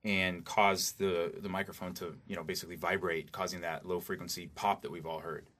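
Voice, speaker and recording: A man's voice: low-pitched, level tone, fairly quick, a bit bright. A man speaking as an interview guest and recording engineer, explaining practical advice in an informal, casual way.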